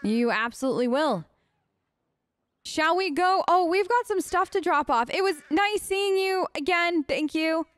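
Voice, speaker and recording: A woman's voice: very high voice, expressive, a little slow, dry recording. Woman gamer, close and dry. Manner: informal, earnest, empathetic. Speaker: gamer, let's play host. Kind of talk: casual role-play game commentary, talking in character.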